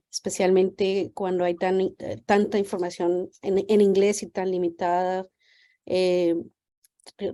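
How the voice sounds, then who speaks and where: A woman's voice: medium pitch, dark tone. A woman physician welcoming parents on a video call, speaking hesitantly with many fillers. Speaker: pediatric geneticist giving a talk.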